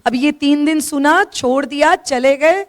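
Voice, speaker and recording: A woman's voice: high voice, a little slow. A middle-aged woman teacher with a sore throat, giving a firm classroom lecture from slides in a hall. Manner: firm, scolds listeners for talking. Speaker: teacher giving a talk to students. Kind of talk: classroom lecture with slides, mixing Hindi and English.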